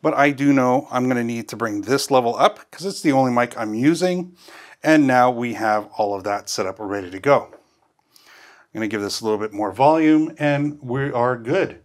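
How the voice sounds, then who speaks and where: A man's voice: mid-range voice, moderate pace. A man in his fifties hosting an instructional walkthrough, explaining each step in a casual way. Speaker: host of audio gear tutorial. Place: small indoor studio. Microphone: lavalier.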